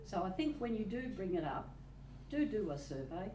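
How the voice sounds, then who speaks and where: A woman's voice: mid-range voice, very animated, normal speed. An Australian woman, a resident at a council meeting, pressing pointed questions insistently. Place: old council chamber. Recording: distant, echoey, noisy chamber recording.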